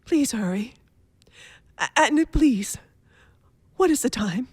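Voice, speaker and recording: Radio drama casting: imperious, nervy invalid wife, high-voiced, gasping and very animated, in a dry close recording. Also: female voice, takes it slowly, no echo, heavily acted. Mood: anxious urgency. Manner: breathy gasps, demanding, dramatic. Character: anxious, imperious invalid wife, frantic and self-pitying. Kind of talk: radio thriller acting.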